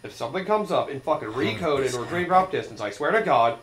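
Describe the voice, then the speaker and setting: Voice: medium pitch, lively intonation, brisk, a bit bright, echoey room. A let's-play commentator reacting casually to cutscenes, laughing often and swearing.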